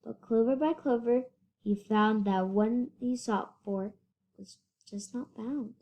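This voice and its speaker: A female reader giving an animated children's picture book read-aloud in rhyme. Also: on the high side, slow. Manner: animated rhyming delivery with whispered asides. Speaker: story reader.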